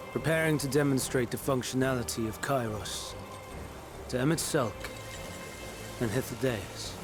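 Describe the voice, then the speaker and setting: Slow, grave overseer acted by a man. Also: mid-range voice, natural voice. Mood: commanding, measured. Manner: measured, formal, grave, deliberate pauses. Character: solemn, coldly reasoning male overseer, resolute and troubled. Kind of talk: dramatic video game acting. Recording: music behind the voice.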